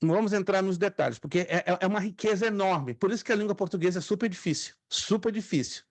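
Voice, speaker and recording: A middle-aged man whose first languages are Spanish and Hebrew leads a class, repeating each word so students can echo it. His voice sits fairly high for a man. The sound is close and dry, with no room around it. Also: fast talker. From Brazil. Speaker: theology teacher. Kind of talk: online class lecture.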